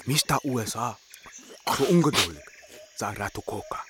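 Guy acting deferential village interpreter in a radio play, lively up n down voice, noisy bugs behind. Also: lowish voice, normal speed, lightly acted.

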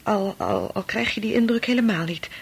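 A troubled, insistent wife in a Dutch radio drama. Her voice is very husky and fairly natural. She hesitates, repeats herself and keeps probing. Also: medium pitch, animated, brisk, full character voice. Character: anxious, probing wife of a doctor, brooding and insistent. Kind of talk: Dutch radio play acting.

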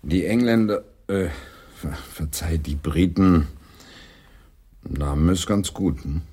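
An actor playing a weary, grumbling middle-aged English playwright in a German radio play, hesitant and complaining. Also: male voice, low voice, warm tone, slightly rough, heavily acted. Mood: dry. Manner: slow, hesitant, complaining, dramatic.

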